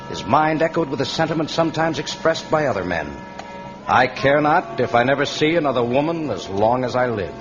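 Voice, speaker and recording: A man narrating a vintage radio serial as a dramatic, scripted storyteller. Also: medium pitch. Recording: dull vintage radio recording.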